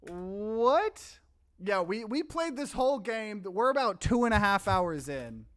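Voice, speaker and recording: Lively man doing game commentary. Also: high-pitched, slow pace, no room sound. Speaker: let's play host. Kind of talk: casual gaming commentary and reading game text aloud.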